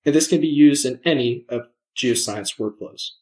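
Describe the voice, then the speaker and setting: Guy doing scripted voiceover for a software demo, mid pitch, measured and explainy, says each word carefully. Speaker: product demo presenter. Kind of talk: scripted screencast narration.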